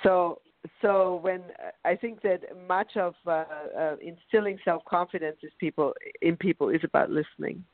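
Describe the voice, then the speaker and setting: A woman whose first language is German, hosting a radio interview and speaking slowly and deliberately. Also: fairly low pitch, no room sound. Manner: slow, deliberate speech. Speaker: radio show host. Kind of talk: conversational interview. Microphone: phone call. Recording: tinny phone line.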